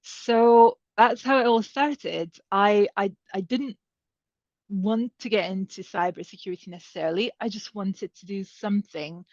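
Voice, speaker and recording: A woman's voice: mid-range voice, darkish tone, dry recording. A woman shares her career story at an advice evening, talking loosely with plenty of ums and restarts. The sound is dull and a bit lo-fi, close and dry with no room around her. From Serbia. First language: Hungarian. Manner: conversational, many fillers and restarts. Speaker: panel speaker.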